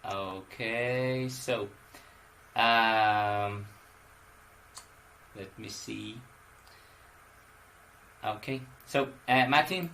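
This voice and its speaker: A man's voice: on the low side, drawn-out words, gravelly, pauses often, bright, forceful tone, a little hiss or hum. A man teaching an English class over a video call, calling on students and hesitating often with fillers. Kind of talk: running an online class.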